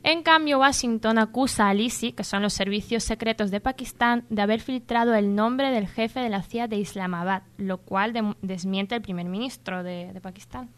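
A woman's voice: medium pitch, moderate pace, few pauses, clear, resonant tone. A woman contributor reading the news on an informal radio show, conversational and correcting herself when she stumbles. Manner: conversational, stumbles and self-corrects while reporting.

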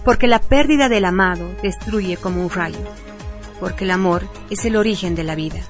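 A woman's voice: fairly low pitch, normal speed. A woman reading a scripted film review as a radio presenter. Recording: prominent music bed under voice. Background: music.

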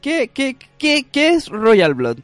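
A man's voice: very high-pitched, wildly swooping pitch, slow, fairly bright tone, soft background music. A man with a Chilean accent chatting and joking casually as a panelist on a radio show.

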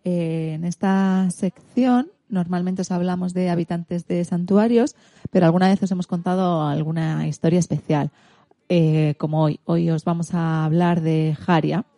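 A woman's voice: fairly low pitch, fairly even intonation, moderate pace, warm tone, no echo. A woman with an accent from Spain hosting a radio show, telling a story in an informal, scripted way for all ages. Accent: Spanish from Spain.

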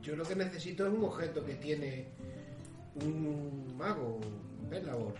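A cocky, blunt warrior, acted by a man in a comic fantasy radio play. The voice is high for a man, echoey and off the mic. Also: unhurried, far from the mic, lightly acted. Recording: echoey, off-mic, music behind.

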